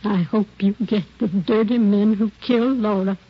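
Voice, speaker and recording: A woman playing a prim, insistent American landlady in an old-time radio drama, speaking deliberately. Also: mid-range voice, fairly even intonation, husky, natural voice. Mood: firm, indignant. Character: prim, talkative, indignant female landlady. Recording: muffled old radio recording.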